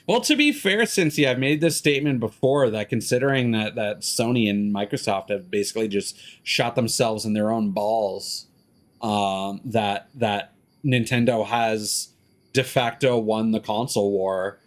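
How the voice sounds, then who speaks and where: A man's voice: fairly low pitch, a little slow. A man live streaming a game, chatting casually with rambling, profane, opinionated commentary. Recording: music behind the voice.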